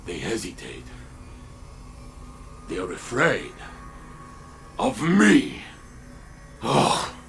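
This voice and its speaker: An actor playing a grave, troubled robotic warrior in a video game, gravelly, with a halting, weighty, dramatic delivery. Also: male voice, lowish voice, huge pitch swings, fairly bright tone, soft background music, heavily acted. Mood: anguished. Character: grave, troubled male robotic warrior searching his lost past.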